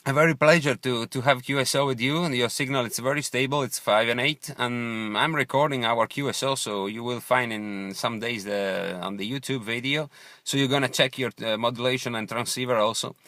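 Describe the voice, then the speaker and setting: A man with an Italian accent speaks in a bright, forward voice, captured close and dry. His English is halting and courteous, in the procedural phrasing of an amateur radio operator making a casual contact. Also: medium pitch, fairly even intonation, dry recording. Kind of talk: unscripted ham radio contact. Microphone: original stock transceiver microphone.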